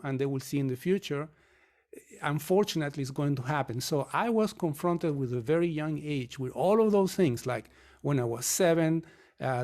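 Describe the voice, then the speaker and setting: A man in his fifties from Chile, answering podcast interview questions in a measured, reflective way. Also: a little high, a little mellow. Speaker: guest, mortgage company founder.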